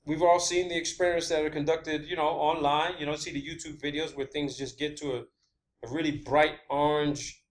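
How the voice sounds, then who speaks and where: Man, slightly high pitch, informal solo monologue, halting, repetitive, emphatic, blunt. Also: flat delivery, rough recording. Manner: blunt, swearing. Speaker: video host.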